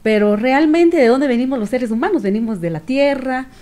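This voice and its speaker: A middle-aged woman with a Guatemalan accent giving earnest, reflective interview answers in a measured way, with pauses. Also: high-pitched, animated, mellow. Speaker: activist guest. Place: small radio studio with several people. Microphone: studio microphone on stand.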